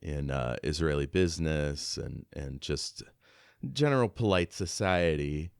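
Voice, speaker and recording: A middle-aged man with a very low, resonant voice talks as a podcast co-host. The sound is dry, and his conversation is casual, measured and reflective. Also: smooth, no echo. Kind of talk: casual discussion.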